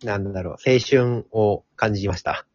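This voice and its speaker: A man chatting casually in a polite, halting way after a show. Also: lowish voice, a little mellow, dry recording. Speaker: amateur voice actor. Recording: dull lo-fi recording.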